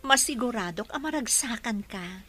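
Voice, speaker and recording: Bright, high-voiced Ilocano woman, sharp and commanding. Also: animated, moderate pace, faint music behind. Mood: happy, coaxing. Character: pregnant woman, sharp and commanding to household help. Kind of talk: Ilocano radio drama acting.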